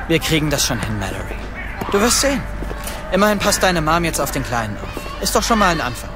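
A man's voice: a little high, big pitch swings, brisk. A young actor playing an optimistic, reassuring young father in naturalistic audio drama dialogue. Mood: upbeat, reassuring. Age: young adult. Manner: reassuring, easygoing. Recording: studio audio drama with loud music underneath.

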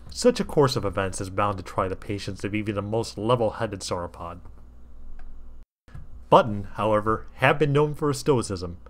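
Wry, lower-voiced man reading a story. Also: normal speed. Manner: wry, theatrical asides to the listener. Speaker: fan fiction reader. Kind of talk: comic storybook narration.

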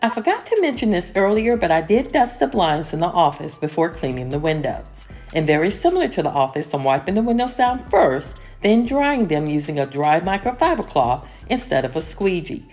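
Calm woman narrating a cleaning routine. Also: lowish voice, moderate pace, hardly any pauses. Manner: calm, explanatory, step-by-step. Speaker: home cleaning vlogger. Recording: voice-over with soft music behind.

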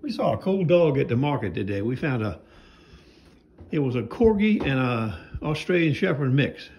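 A man's voice: medium pitch, gravelly, a little mellow, slight background noise. An older man narrating a casual home vlog, rambling and folksy, filming with a phone around his house and yard. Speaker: knife sharpener vlogger.

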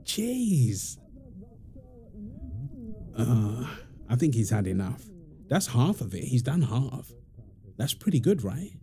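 Guy playing a playful young dad in roleplay audio, slow and soft, bit of gravel in his voice, affectionate teasing. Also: medium pitch, sing-song, heavily acted. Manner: teasing, soft-spoken, chuckling, informal. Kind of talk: intimate roleplay audio, casual domestic chat.

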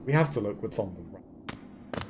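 A man's voice: fairly low pitch, animated, a little slow. A man, giving casual, playful gameplay commentary as he muses aloud. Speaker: let's play host. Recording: clearly noisy game stream with clicks.

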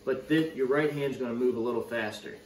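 A young man in a home kitchen casually teaching how to stretch pizza dough, encouraging and steady, with frequent quick approvals. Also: medium pitch. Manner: encouraging, steady coaching with frequent "yep, perfect". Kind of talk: casual cooking demonstration. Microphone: camera mic from across the counter. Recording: echoey kitchen, voice a bit far off, faint room noise.

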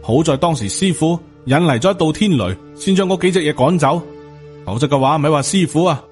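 A man's voice: fairly high pitch, lively intonation, a little fast, pitched above the performer's own voice, close to an everyday voice. A man with a Hong Kong Cantonese accent voicing a resentful young male disciple, cold and sarcastic, in an audiobook. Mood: accusing. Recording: music under the voice.

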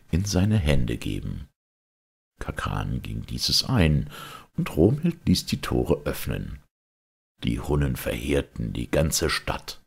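Male storyteller, very deep, creaky and gravelly, measured expressive delivery, scripted legend narration.

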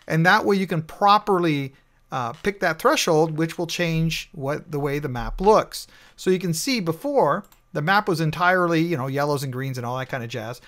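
A man's voice: fairly high pitch, normal speed, fairly bright tone. A man walking through slides in a conversational, explanatory voice-over, with frequent fillers. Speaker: network engineer reviewing a tool. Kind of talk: screen-recorded slide walkthrough.

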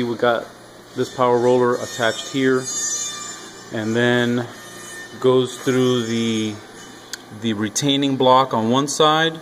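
A middle-aged man with a mid-pitched voice walks viewers through a machine in a casual, halting way. He talks in short, practical phrases as he demonstrates. The handheld recording is made in a large workshop with clear noise behind him. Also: poor audio. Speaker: workshop machine builder and video host. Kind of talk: explanatory walkthrough of a machine. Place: large indoor metal workshop. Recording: clear shop noise on handheld camera audio.

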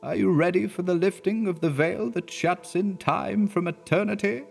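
A man's voice: normal speed, creaky, faint music behind. An earnest, frightened minister speaks in a voice pushed well above the reader's own, pleading and urgent. A man acts him out, keeping a formal, old-fashioned delivery. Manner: high, pleading, then horrified.

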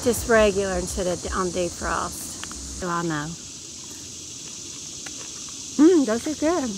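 A woman's voice: mid-range voice, animated, normal speed, noisy background. A woman co-hosting a travel vlog in casual conversation. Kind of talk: casual chat. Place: outdoors at a park campground.

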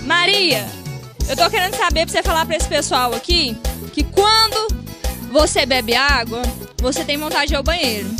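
A woman's voice: sits high, lively intonation, moderate pace, over music. A woman, doing street interviews with a handheld mic at an outdoor night market, asking pointed questions. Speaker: street reporter.

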